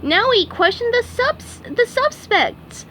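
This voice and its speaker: A woman puts on a shrill, overexcited young sponge fry cook from a storybook, pitched very high and swooping all over. He sounds eager and breathless with enthusiasm. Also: big pitch swings, takes it slowly, a bit bright, a little hiss or hum, full character voice, rough recording. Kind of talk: storybook read-aloud.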